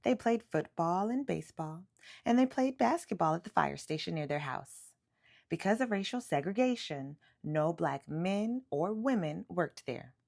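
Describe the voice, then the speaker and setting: Female storyteller for a children's picture book, lively and emphatic, with some vocal fry. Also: fairly low pitch. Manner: animated, emphatic storytelling with drawn-out words. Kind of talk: expressive read-aloud of a children's picture book.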